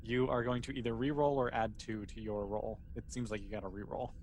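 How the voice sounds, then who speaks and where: A man with a medium-pitched voice acts as game master for a tabletop role-playing game. He talks in a casual, conversational way, with slight noise in the background. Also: fairly quick, darkish tone.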